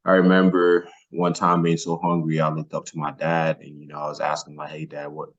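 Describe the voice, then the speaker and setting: A man's voice: extremely low pitch, monotone, brisk, dull audio. A man from northern Nigeria, a podcast guest, telling his own story in a casual, colloquial way. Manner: colloquial, peppered with "man" and "you know". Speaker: podcast guest, former athlete.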